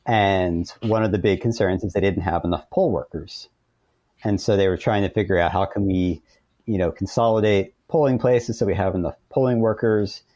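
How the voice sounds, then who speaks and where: A man's voice: low-pitched, warm tone, dry recording. A man speaking as an interview guest, explaining things informally and point by point in casual conversation.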